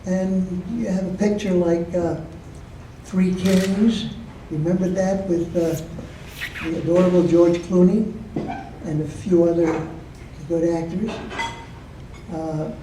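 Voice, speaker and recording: An older man from Michigan gives a talk to a club audience in a slow, deliberate delivery full of pauses. His voice is fairly high yet deeply mellow and dark. He is captured from across an echoey meeting room, with a faint hum of room noise behind him. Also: steady tone. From Michigan, USA. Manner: slow and measured, with frequent pauses and fillers. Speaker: guest speaker. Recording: roomy echo, heard from a distance.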